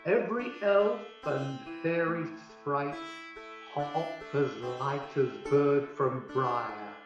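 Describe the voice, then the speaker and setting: Man as a stately fairy king, very slow incantatory verse, cavernous echo, radio play. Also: fairly high pitch. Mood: solemn blessing. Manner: incantatory, measured rhyming delivery. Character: Oberon, stately fairy king blessing a house. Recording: very echoey, distant, muffled, music behind.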